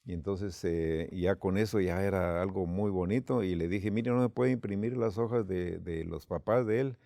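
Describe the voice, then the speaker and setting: Man, very deep voice, gravelly, dark tone, storytelling, church leader. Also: dry recording. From Guatemala. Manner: unhurried storytelling with quoted dialogue. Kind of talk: telling a personal story.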